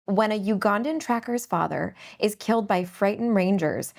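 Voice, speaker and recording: A woman's voice: mid-range voice, darkish tone. A woman hosting a screenplay competition panel, talking with gushing enthusiasm about a table read. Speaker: host. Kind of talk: casual panel chat.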